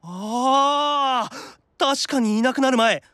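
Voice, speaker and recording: High-pitched, excitable boyish anime demon. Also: male voice, smooth, no room sound, clean studio sound, exaggerated voice. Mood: gushing, sulky. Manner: animated, reactive, fast-gushing fan talk. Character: excitable boyish otaku demon brother, soft-hearted fanboy. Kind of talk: Japanese anime-style audio drama acting.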